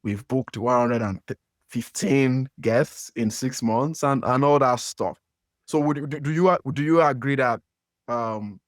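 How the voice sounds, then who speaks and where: A man's voice: mid-range voice, dry recording, studio quality. A man from Nigeria with a Nigerian accent, hosting a podcast interview and asking long questions with many fillers and restarts. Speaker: podcast host. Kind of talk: conversational interview.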